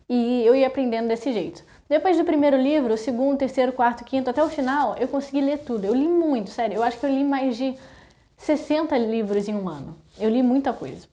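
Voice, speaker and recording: A young woman from Brazil talking casually to her viewers, listing things in quick chatty runs. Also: on the high side, dark tone. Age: young adult. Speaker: vlogger. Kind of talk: casual talk to camera.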